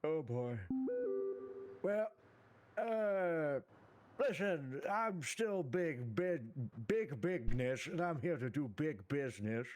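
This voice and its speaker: A man's voice: on the high side, lively intonation, slow pace, darkish tone, soft background music. A man voicing a bumbling, self-important trucker in improvised game roleplay, with earnest, repetitive salesman patter. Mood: cheerfully boastful. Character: bumbling, self-important male trucker hawking big business.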